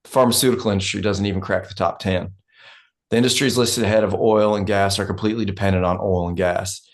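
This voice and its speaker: A man's voice: low-pitched, steady tone, fast talker. A man playing a blunt, world-weary oil company land man in a script read. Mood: matter-of-fact. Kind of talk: dramatic script table read, monologue.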